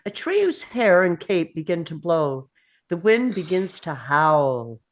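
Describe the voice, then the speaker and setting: Unhurried, lowish-voiced woman narrator. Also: sing-song. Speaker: narrator reading stage directions. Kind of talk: scripted narration.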